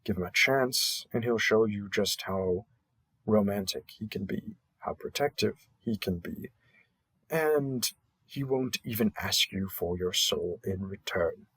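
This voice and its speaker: A man acts out a cheerful matchmaking host for a monster dating app in an audio roleplay. His voice is fairly low and husky. He takes his time, stretching key words and pausing theatrically as he presents each match. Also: fairly bright tone, no room sound, rough recording. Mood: fond, encouraging. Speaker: matchmaking announcer. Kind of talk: scripted roleplay audio narration.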